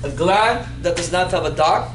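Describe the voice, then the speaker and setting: A man in his fifties teaching anatomy in a classroom, playful and animated, quizzing his students as he goes. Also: high-pitched, moderate pace. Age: 50s. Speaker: anatomy instructor. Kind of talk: classroom lecture with call-and-response. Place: classroom in front of a whiteboard. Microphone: far camera. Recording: echoey classroom with background noise.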